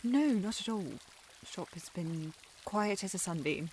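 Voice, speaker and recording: A woman playing a proud, easily flustered young aristocratic lady in a historical ASMR romance, breathy and refined, often dropping to a whisper. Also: medium pitch, lively intonation, a little slow, darkish tone, a little hiss or hum, natural voice. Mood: flustered, polite. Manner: haughty, refined, hesitant when flustered.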